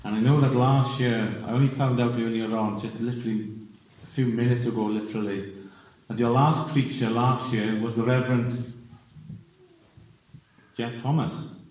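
A man's voice: mid-range voice, steady tone, normal speed, pauses often, a little mellow. A man from South Wales with a Welsh accent, preaching a sermon as a visiting preacher, earnest and building to emphatic declarations. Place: church sanctuary. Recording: echoey church from far off.

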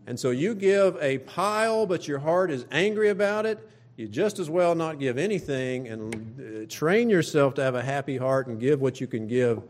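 Middle aged preacher guy w an oklahoma accent, plain spoken and folksy sermon, mid pitched voice, gets emphatic. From Oklahoma, United States. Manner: emphatic, with rhetorical questions. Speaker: pastor. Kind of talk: sermon to own congregation.

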